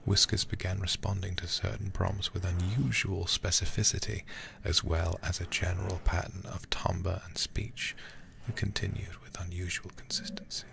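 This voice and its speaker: A man whispering softly close to the mic as an ASMR streamer, reading and commenting through a horror game. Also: sits low, flat delivery, normal speed, gravelly. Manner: soft, hushed whispering. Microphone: close ASMR microphone.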